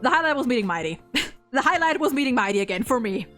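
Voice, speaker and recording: A woman with a fairly high voice hosts a casual livestream discussion. She is animated and blunt, swears easily, and speaks over faint music. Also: quick pace. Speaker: host ranking game story quests with a guest.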